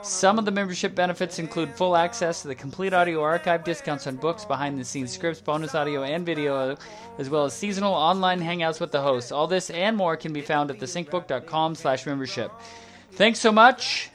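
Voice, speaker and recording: A man's voice: on the high side, brisk, a bit bright, faint music behind. Man hosting a podcast book club. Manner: guiding, asks members questions. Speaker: podcast host. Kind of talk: casual book club chat and scripted outro.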